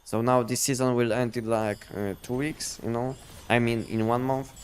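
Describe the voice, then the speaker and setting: A man chatting casually on a game livestream, explaining things in a halting, repetitive way. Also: mid-range voice, fairly even intonation, smooth. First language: not English. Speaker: game live streamer.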